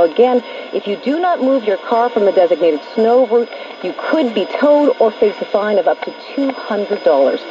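A woman news reporter delivering a live report. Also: mid-range voice. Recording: lo-fi noisy radio reception.

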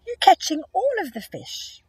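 A woman's voice: very high-pitched, huge pitch swings, measured pace, dry recording, recorded from a distance, voice pushed up, heavily acted. A woman voicing a hungry, grumpy male brown bear in a picture book read-aloud, speaking bluntly.

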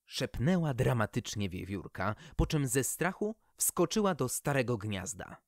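Children's storybook narrator, unhurried and very expressive, dry close sound. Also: mid-range voice, dry recording.

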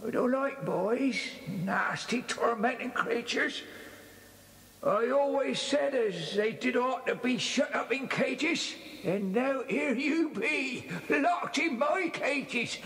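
Spiteful simple old country bloke, really high voice, slow rambling, old cassette drama. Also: male voice, creaky, a bit bright, full character voice. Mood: gloating, spiteful. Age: older. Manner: muttering, cackling, rustic dialect speech. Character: simple, spiteful old kennel keeper, chuckling, rustic. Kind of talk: 1980s children's audio drama acting. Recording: echoey cellar reverb on old cassette.

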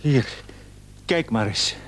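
Husky, stammering, frightened husband. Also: male voice, medium pitch, expressive, slow, fairly bright tone. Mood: frightened, urgent. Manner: stammering, hesitant, repeating words. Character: anxious, frightened husband feeling his face swell. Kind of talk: Dutch radio play acting.

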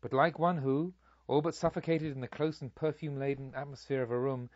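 A man reading literary narration as an audiobook, in a solemn, measured storytelling manner. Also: medium pitch, steady tone, no room sound, muffled recording. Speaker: audiobook reader.

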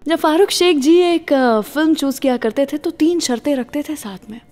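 A woman's voice: high voice, moderate pace, a little mellow, long reverb tail, soft background music. A woman with an Indian accent presenting a scripted radio show, mixing English words into her speech.

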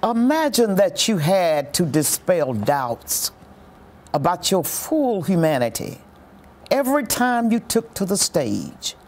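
Older woman, gravelly, reading a script. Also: fairly low pitch, sing-song, slow, a little mellow. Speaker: readers theatre performer. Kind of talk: unaccompanied hymn singing and slow scripted reading. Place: small furnished indoor room.

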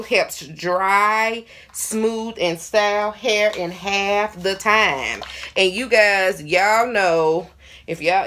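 A woman's voice: mid-range voice, fairly bright tone. Chatty woman over a hair dryer. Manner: chatty, addresses viewers as y'all, playful asides. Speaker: hair vlogger. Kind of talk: casual product review while styling hair. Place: small bathroom indoors. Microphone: camera in front, hands busy. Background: hair dryer running.

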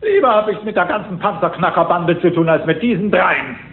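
An actor playing a grumpy, miserly old rich uncle duck in a German children's cartoon audio drama, scolding in a gravelly voice. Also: male voice, high-pitched, a little fast, roomy sound, narrow, tinny audio, a little hiss or hum. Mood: indignant scolding. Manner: irritable, scolding.

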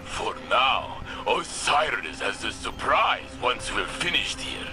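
An actor voicing an earnest, calm male warrior ally in a video game, speaking in a measured, encouraging way. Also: medium pitch, over music. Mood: steady.

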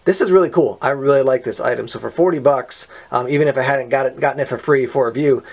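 A man giving a relaxed, step-by-step product demonstration outdoors, explaining as he goes with casual fillers. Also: medium pitch, fast, creaky. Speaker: product reviewer. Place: outdoors on a paved patio.

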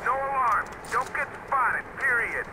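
Snarky spy in a game, super high voice, clipped and bossy, loud game noise all around. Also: male voice. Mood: sardonic. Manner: sarcastic, clipped and brisk. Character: wry, fast-talking male intelligence agent. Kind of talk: video game dialogue. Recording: loud game sounds behind.

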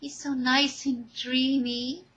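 A woman voicing an excitable, confident teenage girl in a fan audio drama, with gushing, emphatic delivery. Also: high voice, slow pace, husky, lo-fi sound, voice pushed up, heavily acted.

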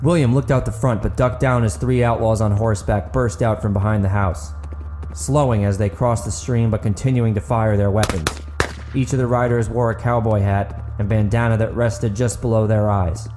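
A man's voice: on the low side, fairly even intonation, normal speed, warm tone, faint music behind. A man reading dramatic fiction as an audiobook narrator, in a scripted storytelling style.

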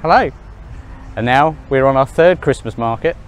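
A man in his forties co-hosting a casual couple's vlog, relaxed and agreeable, at an outdoor night market. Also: medium pitch, normal speed, clean tone. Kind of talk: casual chat.

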